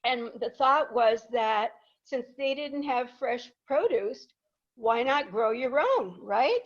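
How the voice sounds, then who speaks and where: An older woman from Peru tells stories to a live audience in an unhurried way, with a slightly high voice. She is chatty and self-deprecating. The recording is dull and lo-fi. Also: expressive. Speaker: guest speaker. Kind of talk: anecdotal storytelling to a live audience.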